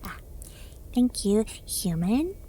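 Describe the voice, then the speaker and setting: A woman performing a gentle ASMR roleplay as a friendly alien medic, often whispering, halting and deliberate, referring to herself in the third person. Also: mid-range voice, sing-song, a little hiss or hum.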